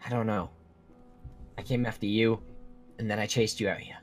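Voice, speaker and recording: Casting: male actor as earnest young male werewolf, deepish voice, halting dramatic delivery, game roleplay with music underneath. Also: steady tone, lightly acted. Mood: concerned. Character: protective, earnest teenage male werewolf friend. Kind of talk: acted dialogue in a Minecraft roleplay drama. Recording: music behind the voice.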